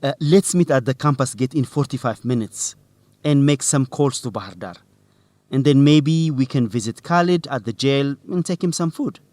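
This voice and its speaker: A man's voice: medium pitch, normal speed, a little mellow, no room sound, natural voice. An actor playing a young male student newsletter reporter in a radio drama for English learners, earnest, hesitant and careful in his speech. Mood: helpful. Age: young adult.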